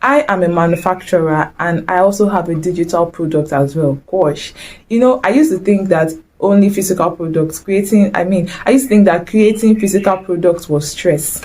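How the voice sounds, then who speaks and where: A woman's voice: fairly low pitch, normal speed, a little mellow, low-quality recording. A young woman with a Nigerian accent, giving a chatty, exclamatory motivational talk straight to camera and mixing in Nigerian slang. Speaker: vlogger.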